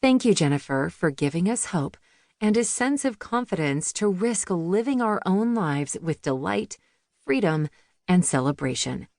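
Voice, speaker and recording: A woman's voice: fairly low pitch, lively intonation, a little slow, darkish tone, no room sound, clean studio sound. A woman reading a memoir audiobook as a scripted narration.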